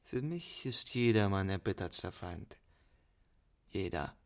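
A man acting a cool, distrustful 1920s con man in a radio play, speaking in terse, deliberate phrases. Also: low voice, expressive, gravelly, a bit bright, exaggerated voice. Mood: cold, guarded.

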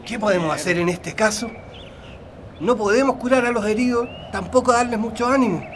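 Man playing an anxious prisoner, high voice. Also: a little fast, lightly acted. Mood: anxious, urgent. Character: anxious male prisoner urging comrades to bear witness. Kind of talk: radio drama acting. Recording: clear ambient noise.

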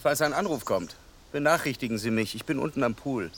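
An actor playing a casual, wealthy gentleman giving instructions to his staff in a radio play. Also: medium pitch, lively intonation, fairly quick, a little hiss or hum. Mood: offhand.

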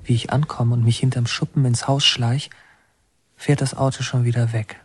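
An actor playing a brooding, haunted young man who narrates his memories in a deliberate, halting, literary radio drama style. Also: mid-range voice, little pitch movement, slightly rough, lightly acted. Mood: tense. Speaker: narrator and protagonist.

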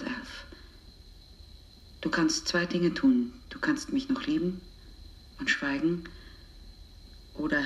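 Casting: resolute, bitter underground woman, low half-whisper, deliberate pacing, roomy taped radio-drama sound. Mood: grave, resolute.

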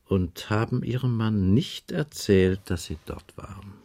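An actor in a German radio crime play playing a patient, wry police inspector who questions in a dry, probing way. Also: male voice, fairly low pitch, measured pace, mellow. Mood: wry, pressing. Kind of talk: 1980s German radio drama dialogue.

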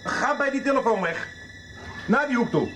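A man playing a brutish, bossy fugitive husband in a radio soap, speaking gruffly and dismissively. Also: extremely high pitch, lively intonation, normal speed, echoey room, noisy background. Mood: angry, threatening. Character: brutish, domineering fugitive husband cornered by two women.

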